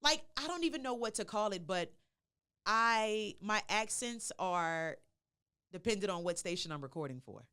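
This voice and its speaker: A woman from Louisiana talks casually as a podcast guest. Her mid-pitched voice swings widely up and down. She is emphatic and likes to repeat short phrases for punch. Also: lively intonation, normal speed, sharp tone, clear, resonant tone. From Louisiana, United States. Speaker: podcast guest, radio personality and event host. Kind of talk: casual chat.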